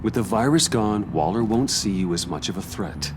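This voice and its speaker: An actor playing a brooding, steadfast male vigilante in a video game, measured and earnest. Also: fairly low pitch, lively intonation, background noise, natural voice. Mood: firm earnest. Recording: loud music behind.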